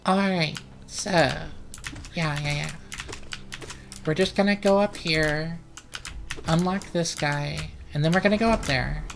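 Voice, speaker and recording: Slow, deadpan gaming commentator over noise. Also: mid-range voice, gravelly. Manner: profane, deadpan, rambling. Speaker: let's play host.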